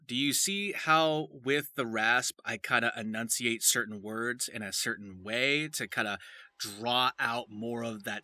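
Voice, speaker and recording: A man's voice: mid-range voice, pushed and bright, dry recording. A man talking casually and informally as a voice actor giving a tutorial, rambling a little and encouraging the listener. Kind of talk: loose, casual instructional talk.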